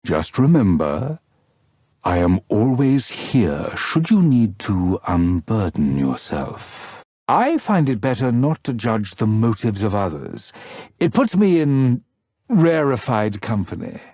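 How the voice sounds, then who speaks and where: A sly gossip of a trader, courteous on the surface and insinuating underneath. He takes his time over florid phrases, his pitch swooping freely, recorded close and dry for a video game. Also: very animated, slow, mellow, breathy edge, no room sound, full character voice. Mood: oily, courteous. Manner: florid, insinuating, mock-polite. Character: smooth-talking, sly, ingratiating male rogue trader and gossip. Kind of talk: scripted video game character dialogue.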